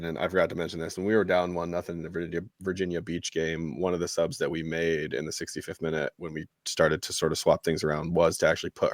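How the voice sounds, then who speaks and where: Male podcast co-host, low register, conversational sports talk, dry recording. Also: dry recording. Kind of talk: casual sports discussion.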